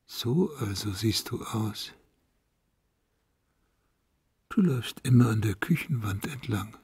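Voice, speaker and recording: An actor playing a paranoid escaped madman in a radio play, muttering and brooding in a husky, gravelly voice. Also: on the low side, lively intonation, normal speed, a little mellow, no room sound, clean studio sound, exaggerated voice.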